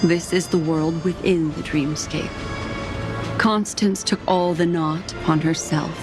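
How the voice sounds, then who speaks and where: An actress voicing a calm, composed woman who speaks in a measured, reassuring way, in a game scene with music behind her. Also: deep voice. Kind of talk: game voice acting. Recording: game dialogue over music.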